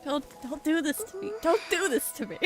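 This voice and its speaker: A woman's voice: sits high, expressive, moderate pace. A woman game streamer giving casual live reaction commentary in an emotional, dramatically pleading way. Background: game music.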